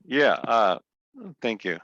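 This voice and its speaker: A man's voice: lowish voice, expressive, slow pace, fairly bright tone, no echo, lo-fi sound. A man from Ukraine on a video call, speaking earnestly in a loose, rambling way. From Odessa, Ukraine. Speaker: community member. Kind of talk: casual video call chat.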